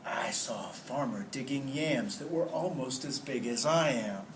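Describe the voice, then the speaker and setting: Guy reading a boastful lil mosquito from a picture book, animated, noisy room. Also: mid-range voice, a little slow, close to an everyday voice. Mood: eager, boastful. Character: boastful, chatty mosquito in a storybook. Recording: roomy, noisy classroom, distant mic.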